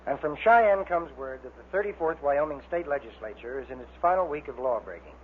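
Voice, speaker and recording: A man's voice: on the high side, lively intonation, brisk. A man reads news copy in an old radio style. The sound is thin and narrow-band, with slight background hiss. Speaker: radio newsreader. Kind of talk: vintage scripted news reading.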